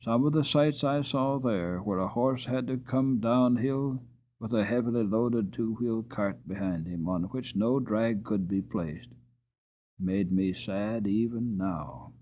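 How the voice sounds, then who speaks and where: A man reading a storybook aloud as a dramatic, expressive audiobook narrator telling a tale in the first person. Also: fairly low pitch, narrow, tinny audio. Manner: expressive, slowing on sad passages.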